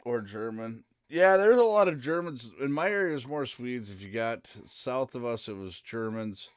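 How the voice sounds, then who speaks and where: A man's voice: medium pitch, expressive, normal speed, clean tone, dry recording, studio quality. A man from central Minnesota, chatting casually and rambling on a live stream. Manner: rambling and easygoing, with frequent "uh" fillers.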